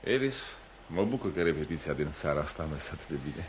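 Radio drama lead: courteous, earnest young striver, low voice, faint noise on the recording. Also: male voice, expressive. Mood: pleased, attentive. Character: ambitious young working-class man, polite and earnest. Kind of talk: Romanian radio theatre acting.

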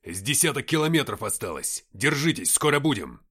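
A man's voice: medium pitch, very animated, fairly quick, a bit bright, slightly rough. A man voicing a brusque army comrade who talks urgently and bluntly in an audiobook war story. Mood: urgent briefing. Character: brusque army comrade reporting over radio under pressure.

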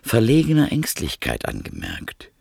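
A man narrating a radio drama in the first person, measured and polite. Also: lowish voice, huge pitch swings, gravelly, darkish tone, husky, no room sound, clean studio sound. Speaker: narrator and visitor.

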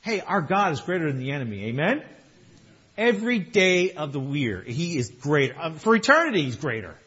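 Man pastor, somewhat high and husky, strained voice, conversational sermon, muffled recording. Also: sing-song, fairly quick. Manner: conversational preaching, swings between soft and loud. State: slightly strained voice.

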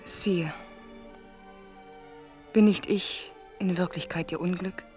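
A woman's voice: on the low side, fairly even intonation, slow pace, darkish tone, faint music behind. An actress playing a king's devoted, anxious young mistress in a German radio drama.

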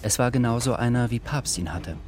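A man narrating a literary radio drama from a script in a measured, sober manner. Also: mid-range voice, music in the background.

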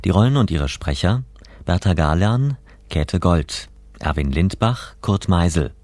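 Deep, formal vintage male radio announcer. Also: lively intonation, a little mellow, no room sound. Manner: deliberate, formal.

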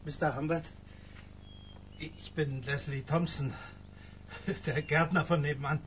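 A polite gardener in a radio drama, speaking hesitantly and urgently. His voice is on the high side, with faint ambience behind it. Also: fairly even intonation, slow pace, fairly bright tone, slight background noise. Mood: urgent, anxious. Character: polite, anxious neighbourhood gardener bearing bad news.

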